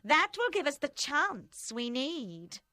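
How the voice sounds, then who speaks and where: A woman voicing a cold, vengeful female revolutionary in an audiobook, speaking slowly and emphatically. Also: sits high, sing-song, bright, forceful tone, no echo, pitched above the performer's own voice, full character voice. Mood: ominous.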